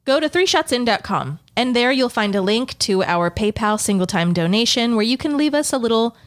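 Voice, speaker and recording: A woman, about thirty, co-hosting a comedy podcast with dry, deadpan teasing in casual chat. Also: fairly high pitch, brisk. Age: 30s. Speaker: podcast co-host.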